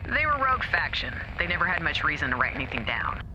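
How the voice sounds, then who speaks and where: A man acting in an audio drama as a practical technical team leader, brisk and matter-of-fact over a radio. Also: on the high side, sing-song, pushed and bright, lo-fi sound, slight background noise, full character voice.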